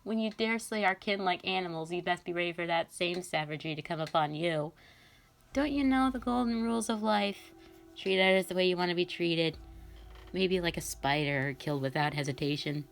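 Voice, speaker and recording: A woman's voice: lowish voice, moderate pace, gravelly, soft background music. A woman voicing a sadistic, vengeful female fox spirit in a scripted audio drama, theatrical and condescending. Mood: mocking, menacing.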